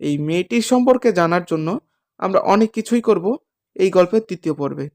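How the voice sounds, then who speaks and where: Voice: medium pitch, animated, moderate pace, darkish tone. A storyteller giving scripted first-person narration for a horror cartoon. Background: music with guitar.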